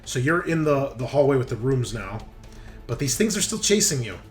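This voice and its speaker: A man's voice: medium pitch, sing-song, brisk. Guy running a tabletop rpg, casual rules talk, voice goes up and down a lot, bit of music behind. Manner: informal, explains rules step by step. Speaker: game master of a tabletop roleplaying game. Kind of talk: casual game refereeing and narration.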